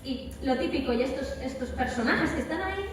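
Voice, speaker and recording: A woman's voice: high-pitched, lively intonation, fairly quick. A woman with an accent from Spain speaking on a live panel, emphatic and repeating words for stress as she tells anecdotes and gives advice. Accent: Spanish from Spain. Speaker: voice actress, guest speaker. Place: large echoey event hall at a convention. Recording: echoey hall, distant mic, crowd noise. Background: crowd chatter.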